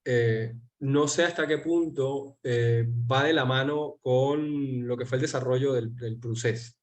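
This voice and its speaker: A Venezuelan man hosting a political interview, talking in a measured way and pausing to search for words. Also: medium pitch. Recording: dull lo-fi sound.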